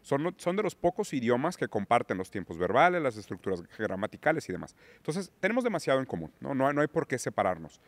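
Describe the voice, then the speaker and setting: A man's voice: mid-range voice, very animated, quick pace, hardly any pauses, no echo. Man, Portuguese native, podcast guest lecturing on politics, dry close mic. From Brazil. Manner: lecturing, rising emphasis on key words. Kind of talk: political discussion.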